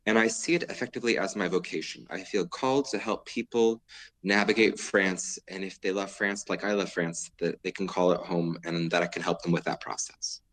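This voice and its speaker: Podcast guest: a low-pitched man in his thirties from California, articulate and relaxed in how he explains. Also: a little fast, low-quality recording. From San Diego, California, US. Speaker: guest attorney. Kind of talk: podcast interview.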